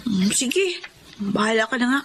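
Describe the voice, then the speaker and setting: High-pitched, worried Filipino girl. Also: animated, unhurried, fairly bright tone, slight background noise. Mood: concerned. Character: caring, worried young female friend of a schoolgirl. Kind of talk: Filipino radio drama acting.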